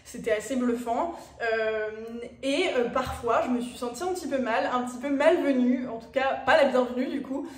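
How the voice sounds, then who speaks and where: Chatty Parisian young woman, high voice. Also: normal speed, echoey room, far from the mic. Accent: Parisian French. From France. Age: 20s. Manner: chatty, direct address to viewers, frequent fillers. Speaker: YouTuber. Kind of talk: casual personal vlog talk.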